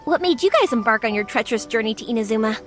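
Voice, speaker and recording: A woman playing a cheerful, caring young fireworks maker in an anime game, breezy and expressive. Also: a little high, very fast, soft background music. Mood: friendly, sympathetic.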